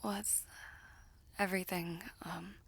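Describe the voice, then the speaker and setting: A woman playing a guilt-ridden, weary young villainess sorceress in an intimate audio roleplay, speaking haltingly with breathy, half-whispered softness. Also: lowish voice, little pitch movement, exaggerated voice. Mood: weary, hesitant.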